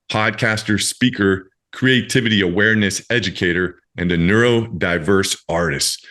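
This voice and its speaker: Guy hosting a podcast, pretty deep voice, effusive and chatty, talks right to the listeners. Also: a bit bright. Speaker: podcast host. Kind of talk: upbeat scripted introduction mixed with casual chat.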